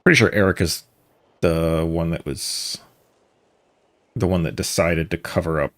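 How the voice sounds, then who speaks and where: A man's voice: low-pitched, unhurried. A man streaming a game, chatting casually and reacting aloud to the story. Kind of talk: live game commentary. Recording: stream with game music behind.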